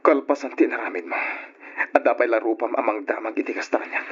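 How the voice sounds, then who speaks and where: A man playing an anguished, betrayed young man in an old-style Ilocano radio drama, with an Ilocano accent and emotional, reproachful, drawn-out delivery. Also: mid-range voice, a bit bright, husky. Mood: angry, accusing. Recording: dull, muffled radio drama recording.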